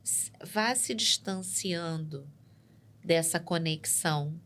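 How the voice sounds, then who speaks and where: Woman psychologist explaining calmly. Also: on the low side. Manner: measured and explanatory, tags points with "né?". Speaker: psychologist guest. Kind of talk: explanatory interview talk. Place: podcast studio.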